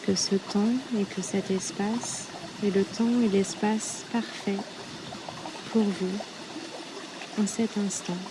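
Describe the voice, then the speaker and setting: A woman leading a guided yoga nidra meditation in a soothing, unhurried way, with short phrases and long pauses. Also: mid-range voice, little pitch movement, noisy background. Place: outdoors at a riverbank. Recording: outdoor recording with loud running river water. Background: flowing, trickling stream water.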